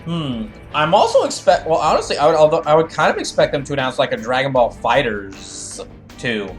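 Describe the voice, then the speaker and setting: Higher-voiced man rambling on a stream. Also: sing-song, fairly quick. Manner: rambling, repeats phrases as thoughts form. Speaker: streamer doing live fandub of a game and reading chat. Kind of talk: casual gaming livestream chat and commentary. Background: game music.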